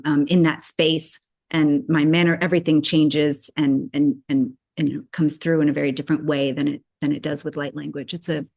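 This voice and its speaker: Rambling, lower-pitched German-born woman. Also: darkish tone. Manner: rambling, many fillers and restarts. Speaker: guest, spiritual channel. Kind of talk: casual conversational chat.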